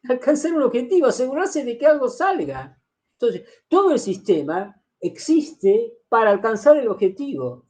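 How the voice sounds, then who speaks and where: A man's voice: high voice, a little mellow. A middle-aged man giving a university lecture, explaining step by step, repeating key phrases and checking in with his students.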